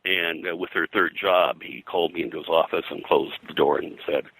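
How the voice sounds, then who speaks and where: An older man reminiscing as an interview guest in a casual, halting, digressive way, often clearing his throat. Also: sits low, steady tone, a bit bright, dry recording, low-quality recording. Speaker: interview guest, comics colorist and pop culture historian.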